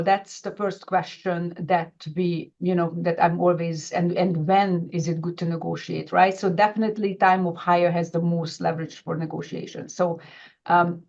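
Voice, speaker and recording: A middle-aged woman with a Hungarian accent and a fairly low voice presents an informal webinar. She talks like an advisor walking clients through material, often restarting and rewording her sentences. The audio is somewhat dull and lo-fi. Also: steady tone, a little slow, darkish tone. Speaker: financial advisor hosting a webinar.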